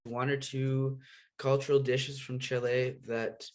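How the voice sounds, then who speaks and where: Man, interviewer, brief informal questions, mid-pitched voice. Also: little pitch movement, slow pace.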